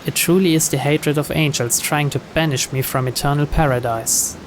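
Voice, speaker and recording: A man's voice: on the high side, fairly even intonation, normal speed, low-quality recording. A man reading a visual novel aloud as dramatic first-person narration. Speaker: video game player reading the story aloud. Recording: clear background noise.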